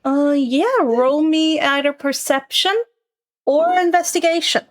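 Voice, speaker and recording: Female game master, high voice, unhurried narration of a fantasy tabletop game.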